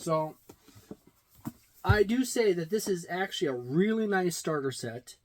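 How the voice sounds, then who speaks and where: A man in his forties giving a casual, unscripted product review with halting, deliberate phrasing. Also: sits high, animated, darkish tone, slight background noise, rough recording. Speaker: tabletop game reviewer. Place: small indoor room lined with shelves of games.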